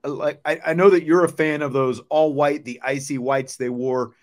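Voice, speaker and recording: A man's voice: on the high side, fairly even intonation, normal speed. A middle-aged man hosting a sports show in a casual, conversational style. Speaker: sports talk show host.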